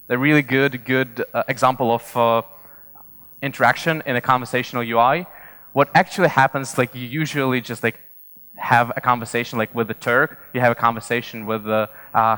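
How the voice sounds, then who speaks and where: A man giving an informal, explanatory conference presentation, speaking haltingly with frequent hesitations and repeated words. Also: mid-range voice, sharp tone, clear, resonant tone. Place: large indoor conference hall. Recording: reverberant hall.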